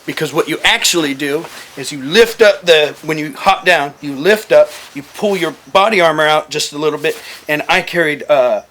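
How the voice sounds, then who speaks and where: Bright-voiced, profane man swapping stories. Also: on the high side, normal speed, few pauses. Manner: profane, blunt, joking. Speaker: firearms gear talk guest and former overseas contractor. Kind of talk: casual storytelling to a small group.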